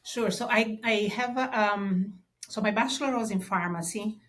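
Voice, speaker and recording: A woman in her fifties from Brazil with a Brazilian Portuguese accent, speaking as an interview guest in a measured way with many small pauses. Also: medium pitch, fairly bright tone. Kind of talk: casual interview.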